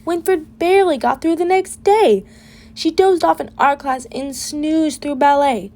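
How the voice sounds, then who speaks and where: A woman reading a children's picture book aloud with an expressive, deliberate delivery. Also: high voice. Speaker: storybook reader.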